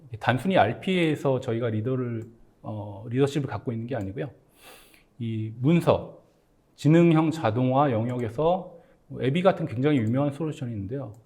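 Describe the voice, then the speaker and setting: Man, mid-pitched voice, webinar presenter, polite, explanatory, conversational, English tech jargon mixed in. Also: a little mellow. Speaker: company executive presenting at a webinar.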